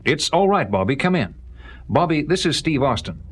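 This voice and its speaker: Firm, measured government official. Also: male voice, fairly high pitch, very animated, husky. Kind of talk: 1970s audio drama acting.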